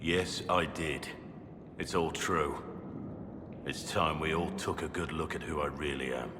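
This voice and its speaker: An actor playing a gruff, guilt-ridden soldier in a video game drama, speaking gravely and deliberately. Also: male voice, a bass voice, fairly even intonation, big-room sound, noisy background. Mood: somber, remorseful.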